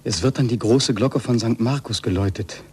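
An actor playing a grave, anxious follower, speaking with measured delivery in a 1940s German radio play. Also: male voice, medium pitch, darkish tone, husky. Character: anxious, grave follower awaiting bad news. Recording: 1940s radio recording, faint noise.

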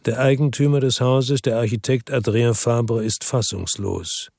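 An actor playing a weary, brooding middle-aged narrator in a radio drama, speaking in a somber, measured, reflective way. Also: male voice, medium pitch, steady tone, gravelly, darkish tone, no room sound, clean studio sound. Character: weary, brooding middle-aged male first-person narrator, world-worn investigator.

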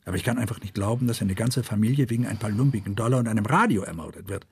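A man's voice: lowish voice, rapid-fire, darkish tone, heavily acted. An actor playing a troubled, sleepless male murder investigator who broods and thinks aloud in a radio drama. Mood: brooding, weary. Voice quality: husky.

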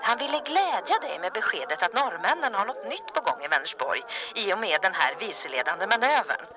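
Cast a woman as a polite barmaid on a late call, lively pitch, rowdy crowd behind. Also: muffled recording, close to an everyday voice. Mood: explaining. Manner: apologetic, polite. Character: apologetic female tavern keeper phoning late at night. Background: crowd of revellers.

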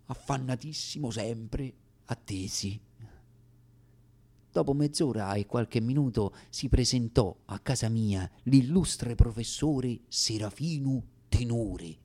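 Guy doing a theatrical radio monologue, medium pitch, wry and deliberate with dramatic pauses. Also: a little mellow. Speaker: stage actor, monologuist.

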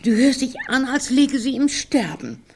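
An actress playing a fretful, defensive elderly woman in a German radio drama, plain-spoken and homely, with a breathy, husky voice. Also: high-pitched, lively intonation, a little slow, slight background noise. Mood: worried. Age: older.

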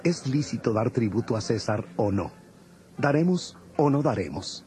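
A man playing a mellow, flattering hypocrite. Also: mid-range voice, moderate pace, close to an everyday voice. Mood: flattering, sly. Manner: ingratiating, formal. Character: Pharisee man, smooth flattering hypocrite laying a trap. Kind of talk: dramatized scripture audio.

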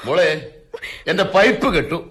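Radio drama patriarch: older, falsely genial and bossy, lively rising and falling delivery, English asides. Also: male voice, sits high, moderate pace. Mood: bossy, unctuous. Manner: pompous, smooth-talking, drops in English phrases. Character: domineering, falsely genial older pipe-smoking father-in-law.

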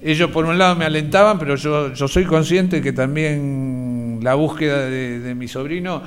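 A middle-aged Argentine man giving personal testimony in a reflective, halting way, often repeating words as he searches for the next. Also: fairly high pitch, steady tone, slight background noise. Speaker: interviewee, relative of a disappeared person. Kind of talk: personal testimony, conversational recollection.

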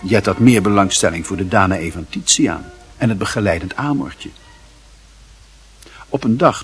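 Lage, zeer hese mannelijke verteller. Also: male voice, lowish voice, slightly rough. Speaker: narrator. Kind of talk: literary radio play narration.

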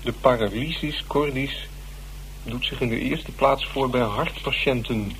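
A man's voice: mid-range voice, a little slow, a bit bright. An actor in a Dutch radio drama playing a curious, bookish man with a musing, teasing and somewhat smug way of talking. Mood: studious. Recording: noisy, humming old radio recording.

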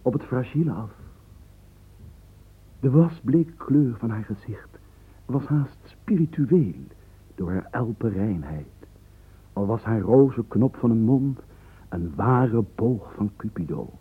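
A man narrating a radio drama as an admiring storyteller, savouring florid lines in a very husky voice. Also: medium pitch, lively intonation, slow pace, pauses often, full character voice. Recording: muffled, phone-like radio play recording.

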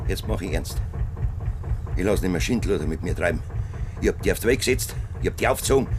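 A man's voice: animated, fast, noisy background. An actor playing a domineering older Bavarian farmer who bullies and threatens his son, in a 1970s radio play with a Bavarian accent. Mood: menacing. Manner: bullying, stubborn, turns to low threats. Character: domineering older male Bavarian farmer, a greedy tyrant father. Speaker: farmer father.

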